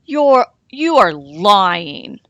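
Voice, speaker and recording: Storybook villain: big male wolf played by a woman, menacing bully, slow and dramatic, swooping pitch. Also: big pitch swings, fairly bright tone, no echo, highs cut off, full character voice. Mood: worried.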